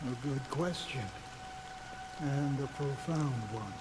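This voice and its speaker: A man's voice: mid-range voice, background noise, lightly acted. A man playing a weary old ranger in the rain. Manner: slow, reflective, heavy with resignation. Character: weary, world-worn older male ranger. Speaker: game character. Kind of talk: game cutscene voice acting. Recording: game audio with rain falling behind.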